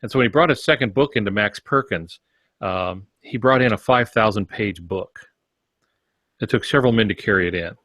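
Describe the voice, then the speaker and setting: Older American male co-host, lowish pitch, measured explanatory talk, close dry recording. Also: a bit bright, dry recording. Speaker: podcast co-host. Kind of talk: conversational writing advice.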